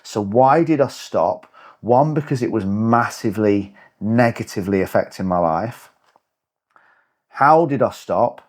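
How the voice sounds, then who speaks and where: A man's voice: on the low side, lively intonation, measured pace. A man in his forties, a coach hosting his own podcast, talking bluntly and emphatically and swearing for emphasis. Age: 40s. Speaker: fitness and lifestyle coach, podcast host. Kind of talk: motivational podcast monologue.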